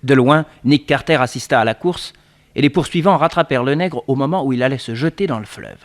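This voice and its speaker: An actor as the storytelling narrator of a 1960s French radio detective serial, reading scripted narration. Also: male voice, mid-range voice, brisk, close to an everyday voice. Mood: narrating.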